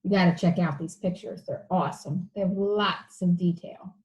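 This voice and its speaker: A woman's voice: lowish voice, steady tone, normal speed, a little mellow, rough recording. A woman reading a children's storybook aloud like an elementary teacher, with casual asides to the listeners.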